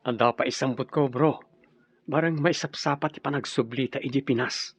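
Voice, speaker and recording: A man's voice: medium pitch, steady tone, moderate pace, slightly rough, faint music behind. An actor playing an easygoing, teasing Filipino family man in an Ilocano radio drama. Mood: earnest.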